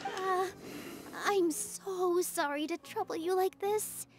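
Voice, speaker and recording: An actress playing a kind, modest young dancer in a video game, speaking politely and earnestly. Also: female voice, extremely high pitch, slow. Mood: modest, apologetic. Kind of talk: English video game dub acting. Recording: soft game music behind.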